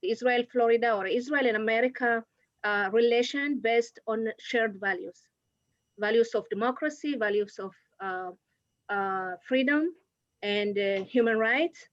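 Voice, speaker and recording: A woman from Ethiopia, not a native English speaker, talking as a diplomat on a video call, halting with many fillers and restarts. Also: on the high side, dry recording, muffled recording.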